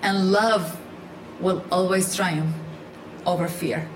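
A woman who grew up in Iraq speaks on a panel with an earnest, emphatic delivery, often restarting her sentences. Her voice sits a little low. The room gives it a noticeable echo, with faint ambient noise behind her. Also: steady tone, slow. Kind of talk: live panel discussion.

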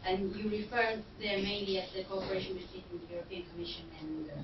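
A woman's voice: mid-range voice, little pitch movement, quick pace. A woman asking academic questions from the audience, hesitant, with many fillers and restarts. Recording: distant, roomy, dull and noisy hall recording.

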